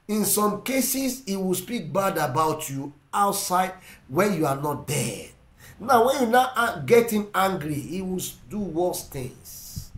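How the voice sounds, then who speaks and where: A middle-aged man with a Ugandan English accent preaching a sermon, emphatic and repetitive, swinging between loud and quiet. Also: high-pitched, echoey room. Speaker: pastor. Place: indoor room with plain wall. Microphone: seated facing camera.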